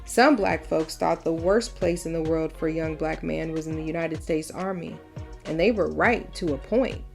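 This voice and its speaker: A woman's voice: low-pitched, moderate pace, vocal fry, darkish tone, soft background music. A woman reading a storybook as a proud, folksy mother who recounts wartime history in an emphatic, conversational first person. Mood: reflective, earnest. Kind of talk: storybook read-aloud, first-person narration.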